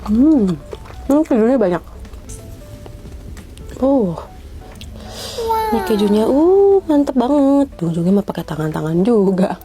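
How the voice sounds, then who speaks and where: Female food vlogger, warm relaxed timbre, chatty review over quiet music. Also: on the high side, measured pace, long pauses. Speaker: food reviewer in short social videos. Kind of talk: casual mukbang review chat.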